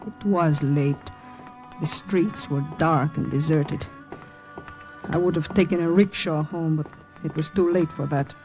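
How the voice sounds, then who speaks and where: A woman's voice: low-pitched, unhurried, a little mellow, rough recording. An actress playing a brave, guarded young female spy, narrating and acting in a vintage radio drama. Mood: reflective, tense. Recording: old radio recording with music behind.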